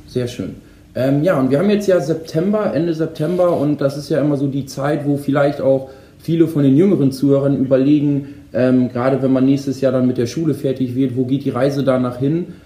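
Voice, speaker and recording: A man's voice: medium pitch, fairly even intonation, a little fast, warm tone. A man hosting a company podcast, greeting guests in a friendly, casual way with frequent filler sounds. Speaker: podcast host. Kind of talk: casual moderated podcast chat. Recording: roomy echo.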